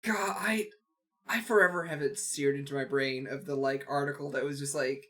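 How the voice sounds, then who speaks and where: A man's voice: mid-range voice, fairly even intonation, moderate pace, fairly bright tone. A man co-hosting a podcast with casual, rambling, jokey banter and loose swearing. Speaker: podcast co-host.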